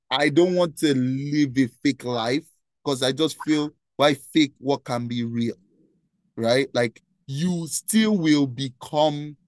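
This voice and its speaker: A man's voice: medium pitch, dry recording. A young man with a Nigerian accent, a nonprofit founder answering interview questions in an earnest way and tagging "right?" onto his sentences. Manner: earnest, repeats "right?" often.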